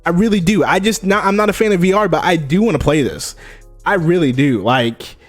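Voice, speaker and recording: Young male streamer, upbeat and chatty, slightly high voice, desk mic, faint music underneath. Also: brisk. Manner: hyped, chatty, greets chat by name. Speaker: gaming news streamer. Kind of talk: casual live-stream chat. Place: home streaming setup.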